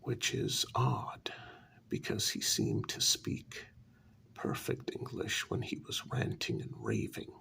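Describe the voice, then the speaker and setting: A man playing a grave, troubled asylum staffer in a soft-spoken ASMR role-play, telling a dark story in slow, halting phrases with a breathy, gravelly half-whisper. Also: very deep voice, very animated, heavily acted. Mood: grave, measured. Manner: slow, halting, deliberate short phrases with long pauses.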